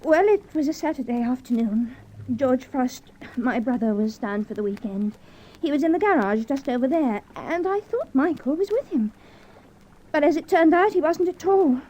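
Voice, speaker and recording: An actress playing an anxious, grieving wife in an old radio crime drama, breathy and emotional. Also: female voice, high-pitched, lively intonation, moderate pace, mellow. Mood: distraught. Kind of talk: 1950s radio drama acting. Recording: dull old radio recording.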